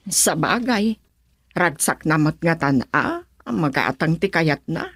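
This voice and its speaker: A woman's voice: deep voice, expressive, measured pace, a bit bright, husky, exaggerated voice. A woman playing a worried middle-aged wife and mother in a radio drama, serious and subdued, with an Ilocano accent. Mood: disapproving.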